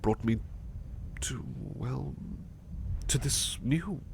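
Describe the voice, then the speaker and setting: A man playing a devoted, anxious butler with a haunted past in an intimate ASMR roleplay, speaking softly and haltingly with stammers. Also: mid-range voice, vocal fry, noisy background. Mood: vulnerable, fearful. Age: middle-aged or younger adult. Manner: hesitant, stammering, soft-spoken, drifting into whispers.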